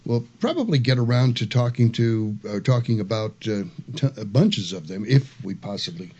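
A man hosting a radio show in a studio, casual and halting, with many fillers. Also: mid-range voice, expressive, a little mellow, highs cut off.

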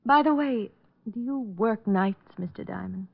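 An actress playing a mysterious, coolly seductive client in 1940s radio noir, sultry and coy in manner. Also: female voice, medium pitch, normal speed, vocal fry. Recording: thin, narrow old radio recording.

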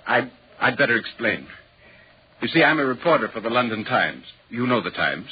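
Inquisitive reporter, expressive old radio voice. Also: male voice, mid-range voice, moderate pace, breathy edge. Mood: curious, polite. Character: inquisitive, polite London newspaper reporter recounting an eerie tale. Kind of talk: 1940s radio drama narration and dialogue. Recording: dull, hissy 1940s radio recording.

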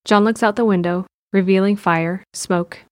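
A woman's voice: medium pitch, steady tone, normal speed. A woman reading scripted narration for an audio drama. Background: faint music.

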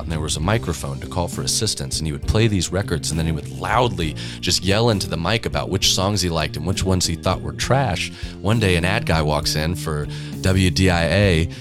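A man telling stories informally, like a tour guide. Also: low voice. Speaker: tour guide and audio engineer. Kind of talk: informal storytelling. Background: guitar music.

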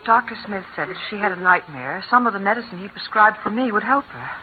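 An actress playing a firm, brisk mother in an old radio drama, speaking in a crisp, clipped way. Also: mid-range voice, expressive, natural voice. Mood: persuasive. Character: brisk, cold mother of a young girl. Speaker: radio actor. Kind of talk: 1940s radio acting. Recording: tinny old radio broadcast, faint hiss.

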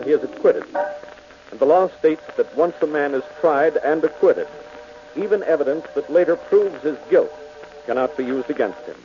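A man narrating as announcer of a 1940s radio drama in a measured, formal manner, on a dull old broadcast. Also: mid-range voice.

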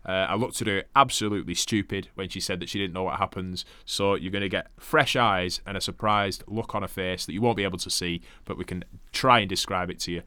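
A man's voice: on the low side, sharp tone, clean tone, no echo. A man hosting a casual wrestling podcast, upbeat and jokey, presenting the next episode like a presenter. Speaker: podcast host. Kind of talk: casual chat.

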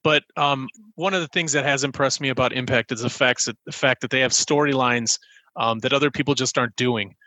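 Bright, rambling podcast co-host man. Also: medium pitch, steady tone, brisk, no echo, poor audio. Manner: rambling, self-deprecating, loose. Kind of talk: casual chat.

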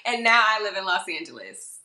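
A bubbly woman from Georgia chats as a podcast guest, animated and playful, slipping into little voices as she tells stories. Her voice is bright and crisp, and the sound bounces around an echoey room. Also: medium pitch, brisk. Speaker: guest, model and musician. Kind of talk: casual interview chat.

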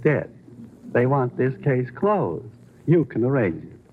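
An actor playing a polite, evasive, unctuous lawyer who fronts for a gangster in a vintage radio crime drama. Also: male voice, mid-range voice, sing-song, slow pace. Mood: insinuating. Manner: evasive, unctuous, repeats himself formally. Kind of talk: 1940s-50s radio crime acting. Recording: tinny, noisy old radio recording.

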